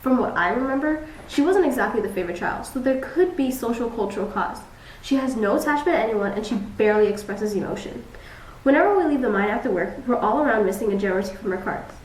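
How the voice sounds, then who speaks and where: A woman's voice: a little high, expressive, fast, natural voice. A young woman playing a grumpy, blunt dwarf psychologist who talks in an exasperated, clinical way in a student skit. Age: young adult. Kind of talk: student skit, scripted diagnostic monologue. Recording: roomy, distant camera mic with hiss.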